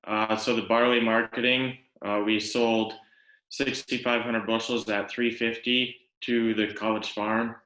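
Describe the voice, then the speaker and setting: Young male student presenter, Western Canadian, slow and halting, flat intonation, roomy echo. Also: on the low side. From Alberta, Canada. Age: young adult. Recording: echoey room sound.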